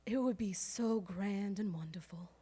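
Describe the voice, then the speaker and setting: A woman's voice: mid-range voice, measured pace, warm tone, slightly rough. A harried old-time Southern household woman in a live stage monologue. She is wry and proud, with a mid-range voice, and dwells emphatically on each detail as she reminisces. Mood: relishing. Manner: wry, emphatic, lingering over lists. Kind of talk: theatrical storytelling monologue on stage. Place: live stage performance in a recreation hall.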